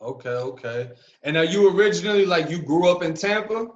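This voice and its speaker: A young man with a high voice hosts in a relaxed, friendly way, leaning on street slang. The room is echoey, and the recording sounds dull and low-fidelity. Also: brisk. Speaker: podcast host, former prisoner. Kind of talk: casual interview.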